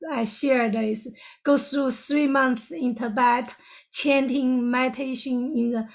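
Halting high-voiced woman with a gravelly edge. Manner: halting, searching for words, broken grammar. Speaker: guest. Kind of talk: personal story in an interview.

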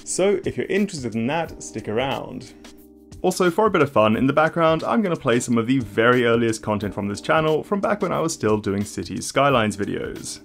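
A man's voice: medium pitch, very animated, faint music behind. A young man from South Africa with a mixed South African and Australian accent, hosting a video that moves from scripted narration to a casual question and answer session. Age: young adult. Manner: clear, measured delivery with casual fillers in Q&A. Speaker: YouTube host.

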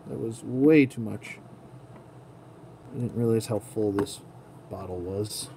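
Casual man over clear background noise. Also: fairly low pitch, warm tone. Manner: murmurs to himself while working with his hands. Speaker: toy collector host. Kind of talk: casual hands-on tutorial commentary. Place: indoor tabletop setup. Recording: clearly noisy room ambience.

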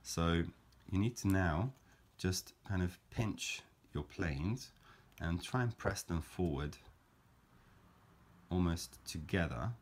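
A man calmly explaining a paper craft step by step, in a gentle, measured way with frequent fillers. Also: deep voice. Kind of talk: calm step-by-step craft tutorial.

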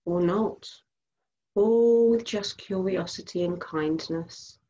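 Low-voiced woman guiding a meditation. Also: highs cut off, slight background noise. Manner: gentle instructing, unhurried pauses between short phrases. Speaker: meditation and yoga teacher. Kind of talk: guided body scan meditation.

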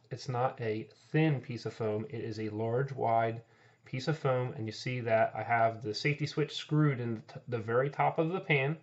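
A man's voice: medium pitch, muffled recording. A man who is an HVAC technician and channel host, plainly explaining equipment as he points things out during a hands-on walkthrough, filmed point-of-view on a camera-mounted mic. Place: indoor utility closet, later outdoors by a heat pump. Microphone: camera-mounted mic, speaker filming himself point-of-view.

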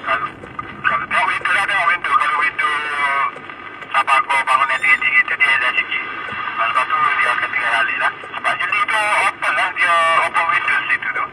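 A man's voice: a little high, animated, a little fast. Distant Malaysian man on noisy radio. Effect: two-way radio transmission. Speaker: amateur radio operator at a base station. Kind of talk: casual two-way radio chat. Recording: distant radio audio, loud vehicle noise.

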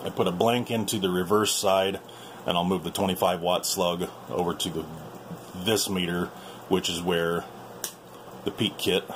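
A man's voice: deep voice, background noise. A man giving a casual, folksy tour of his workshop to viewers, recorded on a handheld camera in a small room. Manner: informal, folksy, addressing viewers. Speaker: video host and radio hobbyist. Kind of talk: casual shop tour commentary.

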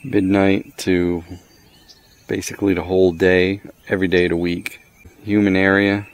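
A man with a low voice talks through a gadget review outdoors. He is plainspoken and matter-of-fact, naming things in short, clipped phrases in a casual way. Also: level tone, moderate pace. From the Americas. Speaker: product reviewer. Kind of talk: casual hands-on app walkthrough. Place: outdoors under a canopy in a wooded yard.

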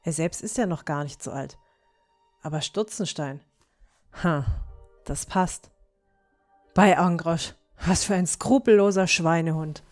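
A stern young fantasy heroine, acted by a woman with a slightly low voice. She sounds firm and decisive, sure of right and wrong, as soft music plays behind her. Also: a little slow, long pauses, natural voice. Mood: indignant. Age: young adult. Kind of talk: fan-made fantasy audio drama acting.

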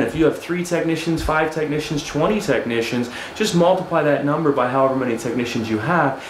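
A man's voice: medium pitch, noisy background. Man teaching at a flip chart. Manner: conversational, repeats words, asks "right?" often; stands and writes on a flip chart while talking. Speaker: HVAC business trainer. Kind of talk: whiteboard training lecture. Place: studio with a dark backdrop, indoors. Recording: echoey room, faint background ambience.

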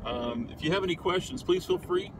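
A man's voice: sits high, heavy background noise. Middle-aged man talking from a truck. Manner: relaxed, halting with many fillers. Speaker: fishing video host. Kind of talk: casual outdoor vlog talk. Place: inside a truck.

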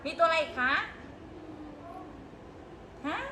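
A woman's voice: slow pace, bright, forceful tone, reverberant, slight background noise. A woman preschool teacher patiently coaxing small children in a classroom to make up a story together.

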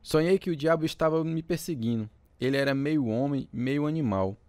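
A man's voice: on the high side, fairly quick, a little mellow, dry recording, lightly acted. A man playing an agitated, earnest teenage boy in a radio drama. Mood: uneasy.

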